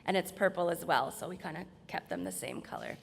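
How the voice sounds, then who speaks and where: A woman with a slightly hoarse voice gives an informal, explanatory slide presentation in a large room. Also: on the low side, big pitch swings, a little fast, a little hiss or hum. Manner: explanatory, conversational. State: slightly hoarse, losing her voice. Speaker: presenter from hospital staff. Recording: reverberant hall.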